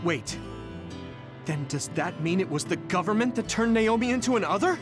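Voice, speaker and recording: Earnest young recruit, highish voice. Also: male voice, lively intonation, moderate pace, music in the background. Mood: concerned. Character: earnest young male recruit, concerned and straightforward. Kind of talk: English video game voice acting.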